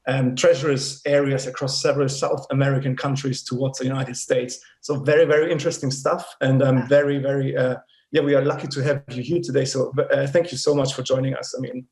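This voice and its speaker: A man hosting a webcast, introducing a guest warmly and repeating words for emphasis. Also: mid-range voice, flat delivery, fairly quick. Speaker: host. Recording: echoey room.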